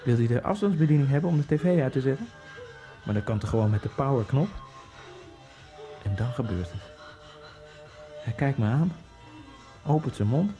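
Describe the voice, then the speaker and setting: An actor playing a dead Egyptian immigrant man who narrates his own fate in a radio drama, in a quiet, dry and sardonic way. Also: medium pitch, lively intonation, moderate pace, pauses often, highs cut off, faint music behind, natural voice. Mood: wry. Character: dead male Egyptian immigrant, wry and detached. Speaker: first-person narrator.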